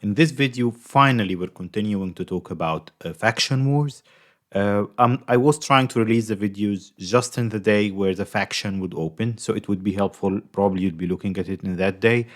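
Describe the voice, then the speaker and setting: A man's voice: fairly low pitch, moderate pace. A young man from Egypt with an Egyptian accent, casually talking through a mobile game guide in a rambling, chatty way full of fillers. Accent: Egyptian Arabic. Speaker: gaming YouTuber.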